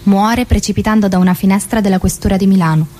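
A woman's voice: mid-range voice, steady tone, quick pace, dark tone. A woman reading scripted news-style narration in a formal, factual manner.

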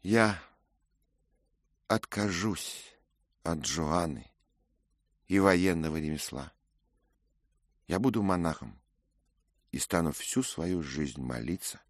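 A man's voice: very deep voice, lively intonation, slow, pauses often, no echo, clean studio sound, pitched above the performer's own voice, exaggerated voice. A male reader voicing an earnest young squire confessing his mistakes. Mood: remorseful. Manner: earnest, pleading. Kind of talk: audiobook character reading.

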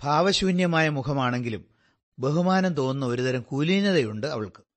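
Detective's doctor companion male actor: radio drama, attentive and earnest, medium pitch, careful descriptive delivery. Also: lively intonation, moderate pace, lightly acted. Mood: earnest, observing.

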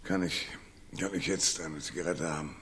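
An actor playing a battered, wisecracking private detective in a noir radio crime drama, laconic, dry and sardonic. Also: male voice, low voice, normal speed, fairly bright tone, slightly rough. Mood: dry, weary. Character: hard-boiled, battered, wisecracking male private detective narrator. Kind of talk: noir radio crime drama, first-person narration and dialogue.

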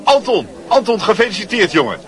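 A man's voice: sits high, sing-song, fairly quick. A man acting a brash, chummy young school leaver who schemes and boasts, in a radio drama. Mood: exuberant, chummy. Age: young adult. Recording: busy crowd noise behind. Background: crowd chatter.

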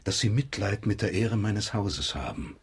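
Stern grieving dad out for revenge, really husky low voice, formal radio drama. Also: male voice, expressive, moderate pace, full character voice. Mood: grave, vengeful. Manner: solemn, formal, emphatic. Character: grieving stern old father demanding private vengeance. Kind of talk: German radio drama acting.

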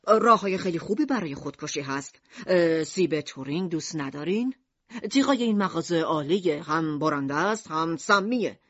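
A woman's voice: low voice, expressive, dull audio. Woman playing a brusque, grumpy male shopkeeper. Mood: brusque selling. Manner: brusque, sales patter turning to bellowing. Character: grumpy, hot-tempered male poison-shop keeper, a father.

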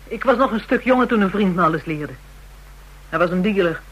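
A tough, taunting underworld woman in a radio drama, her voice low for a woman and husky. She speaks in colloquial, scornful jabs with a self-pitying edge. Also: expressive, brisk, fairly bright tone, rough recording. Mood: scornful, defiant. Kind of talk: Dutch radio drama acting.

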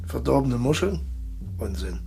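An actor in a radio drama playing a suave, cold-blooded traitor colonel, courteous and condescending, with a husky, gravelly voice. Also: male voice, mid-range voice, sing-song, measured pace, mellow, soft background music. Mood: smug, explaining.

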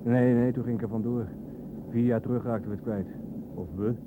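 A male actor plays a bitter, sardonic son in a radio play. He broods aloud through a thin, phone-like recording with clear engine noise behind him. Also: mid-range voice, steady tone, fairly quick, close to an everyday voice. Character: bitter, sardonic son, a runaway adult man. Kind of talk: radio drama acting. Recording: narrow phone-like sound over vehicle noise.

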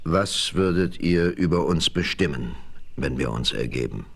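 A man's voice: rumbling low, lively intonation, measured pace, vocal fry, slightly rough, heavily acted. A man playing a proud, aging Comanche chief with solemn, declamatory delivery in a German radio play. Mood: defiant.

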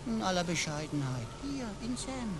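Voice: medium pitch, big pitch swings, moderate pace, noisy background. An actor playing a pompous, servile computer assistant in a radio play, speaking in flowery, convoluted mock-courtly phrases. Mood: fawning, boastful.

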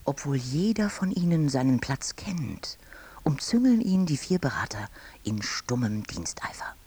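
An actor playing an awestruck co-commentator reporting a state ceremony in a hushed, reverent way in a radio play. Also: male voice, mid-range voice, very animated, unhurried, slightly rough, exaggerated voice. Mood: awed.

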